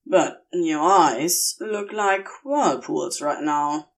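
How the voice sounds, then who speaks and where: A woman's voice: low voice, drawn-out words, recorded from a distance, pitched below the performer's own voice, full character voice. A woman voicing a worried, protective male demon in an audiobook story, speaking in a direct, concerned way.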